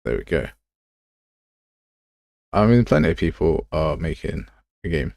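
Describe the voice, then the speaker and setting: A man's voice: very deep voice, big pitch swings, measured pace, vocal fry, a little mellow, no echo, studio quality. A man hosting a game development livestream, talking casually and thinking aloud as he works in a rambling, informal, self-deprecating way. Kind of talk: casual live tutorial, thinking aloud while working.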